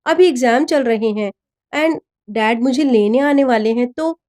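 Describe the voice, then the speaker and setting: Woman voicing a high-pitched, earnest teenage girl. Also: unhurried, a little mellow, close to an everyday voice. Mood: hesitant, pleading. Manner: earnest, affectionate. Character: anxious, loving teenage schoolgirl daughter.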